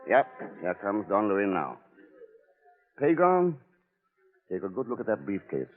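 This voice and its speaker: An actor playing a wry, laconic, unflappable adventurer in an old-time radio drama. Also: male voice, lowish voice, huge pitch swings, measured pace, narrow, tinny audio. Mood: cool, dry. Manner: dry, laconic. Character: cool, wry male adventurer hero, unflappable. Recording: thin, band-limited old radio recording.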